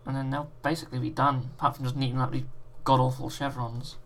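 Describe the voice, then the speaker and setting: Croaky British man chatting casually. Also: medium pitch, quick pace. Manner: relaxed, reads out chat messages. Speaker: livestream host. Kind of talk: casual chat while painting miniatures.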